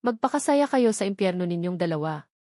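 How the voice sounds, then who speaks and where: A woman voicing a cold, betrayed young heiress with flat delivery in an audiobook. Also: on the low side, brisk, dry recording, studio quality, lightly acted. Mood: cold, bitter. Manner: flat, bitter. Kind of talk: audiobook character dialogue.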